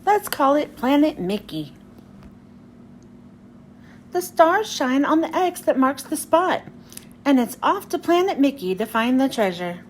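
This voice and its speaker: High, expressive woman reading a storybook. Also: moderate pace. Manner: expressive, telling a story to children. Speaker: children's book reader. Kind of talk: storybook read-aloud narration. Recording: faint background noise.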